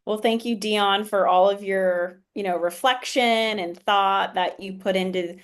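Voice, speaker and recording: A woman's voice: mid-range voice, fairly even intonation, unhurried. A woman hosting a casual business podcast, asking questions in a friendly, chatty way. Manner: friendly, chatty, laughs often. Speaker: podcast host.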